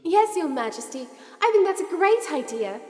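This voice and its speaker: A woman's voice: extremely high pitch, very animated, normal speed, darkish tone. A woman playing a brave, devoted young girl heroine in an audio play, with an earnest, emphatic delivery. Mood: polite. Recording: echoey reverb, music underneath.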